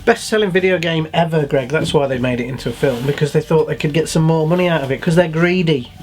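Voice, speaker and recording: A man's voice: a little high, quick pace, slight background noise. A man co-hosting a show, giving casual opinions in a matter-of-fact way with frequent tag questions. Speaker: show co-host.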